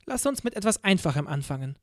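A man's voice: sits high, sing-song, fast, no echo, studio quality. A man reading an audiobook, voicing a cold, stern female interrogator in a clipped, coolly polite way. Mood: icy. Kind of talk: audiobook character voice.

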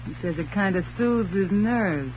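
An actress playing a hard-boiled, impatient gangster moll in a 1940s radio drama, speaking in curt, tough slang. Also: female voice, mid-range voice, animated, moderate pace, natural voice. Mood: curt, threatening. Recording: muffled old radio recording, faint hiss.

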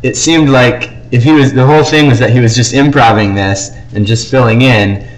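A man's voice: medium pitch, brisk. A man co-hosting a casual fan podcast, talking about things he likes with effusive enthusiasm. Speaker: podcast co-host. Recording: noisy amateur podcast recording.

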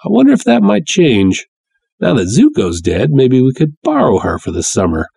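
A man's voice: mid-range voice, huge pitch swings, normal speed, gravelly, dark tone, husky, dry recording, clean studio sound, voice pushed up, exaggerated voice. A man voicing a brash, jovial, sly showman promoter with folksy salesman patter in a pulp story reading. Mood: glib. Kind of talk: dramatic reading of pulp fiction.

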